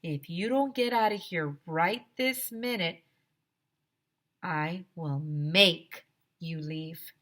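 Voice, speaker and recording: Mean storybook principal, played by a woman: bullying male authority, barking and drawn-out, hard sharp edge. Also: on the low side. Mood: angry. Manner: barking, menacing, drawn-out shouting. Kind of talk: children's storybook read-aloud.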